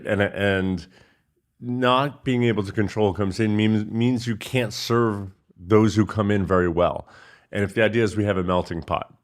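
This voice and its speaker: A man from Egypt, a podcast guest, explaining things in measured, storytelling fashion. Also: low voice. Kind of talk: casual interview.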